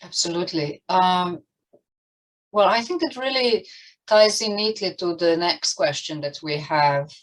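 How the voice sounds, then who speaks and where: Low-voiced woman moderating, halting phrasing. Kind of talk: moderating an online panel discussion.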